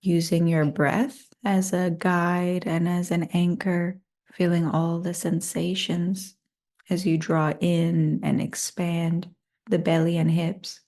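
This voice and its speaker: Woman doing guided meditation, soft dark tone w lots of creak, soothing n unhurried. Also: fairly low pitch, flat delivery. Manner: soothing, unhurried, gently inviting.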